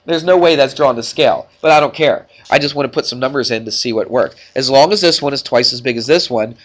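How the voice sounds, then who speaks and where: A man's voice: mid-range voice, fast talker. A man with a stuffed-up nose teaching math to a class, talking informally and checking in with frequent questions. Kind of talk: classroom worked-problem lesson.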